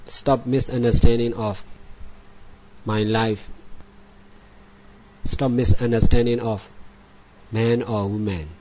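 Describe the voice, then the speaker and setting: Dull lo-fi recording, male meditation teacher, native Burmese speaker, very slow delivery, short repeated phrases, long pauses. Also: mid-range voice, steady tone, mellow. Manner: measured short phrases with frequent pauses, repetitive. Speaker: Buddhist monk and meditation teacher. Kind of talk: guided meditation, spoken dhamma instruction.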